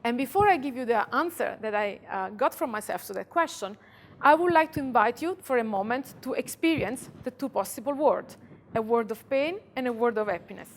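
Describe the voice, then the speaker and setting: A woman from Italy with an Italian accent, giving a conference talk and guiding the audience through a calm visualization. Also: on the high side. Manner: calm, slow guiding voice, speaks to listeners directly. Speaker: conference speaker. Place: conference room.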